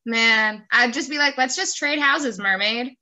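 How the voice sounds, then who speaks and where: A woman's voice: high voice, takes it slowly, bright, forceful tone. A woman hosting a casual chat, speaking in a playful, joking way peppered with "like". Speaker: host, interviewer. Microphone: video call.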